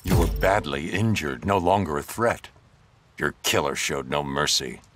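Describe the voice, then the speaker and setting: A man playing a grim, brooding vigilante detective in a video game, low and gravelly, terse and stern. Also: measured pace, a bit bright, slight background noise. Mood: grim, analytical.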